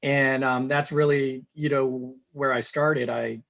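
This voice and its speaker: A man's voice: medium pitch, steady tone, slow pace, vocal fry, a bit bright. A man from New Hampshire, a business executive answering interview questions in an earnest, upbeat way with many "you know"s. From New Hampshire, USA.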